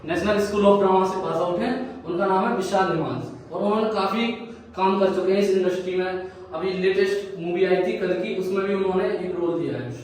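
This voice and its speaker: High-voiced man in a very echoey room. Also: brisk, a little mellow. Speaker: knowledgeable friend explaining mimicry. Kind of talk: scripted explanation in a student vlog. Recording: very echoey room, far off, faint noise.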